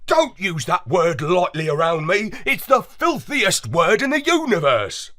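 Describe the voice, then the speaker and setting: A loyal, level-headed male space dog talks plainly and warily to his commander, voiced by a woman reading a storybook. His voice moves slowly and rises and falls a great deal. He sounds practical and unimpressed by wild plans. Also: on the low side, big pitch swings, fairly bright tone, no room sound, studio quality, voice pushed up. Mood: wary.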